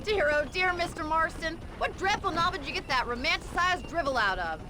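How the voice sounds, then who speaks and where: A woman's voice: very high-pitched, expressive, a little fast, background noise. A young woman playing a wry, practical American rancher in an Old West video game, speaking in articulate, sarcastic lines. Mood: scornful. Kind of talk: video game voice acting, dramatic dialogue. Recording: loud wagon and outdoor ambience.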